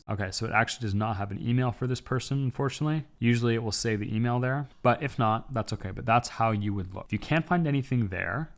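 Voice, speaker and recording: A young man from Canada walks through an online course lesson in a steady, instructive voice. His pitch sits on the low side, and he lays out each point in order. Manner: steady, instructive, step-by-step explaining. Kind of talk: scripted online business lesson.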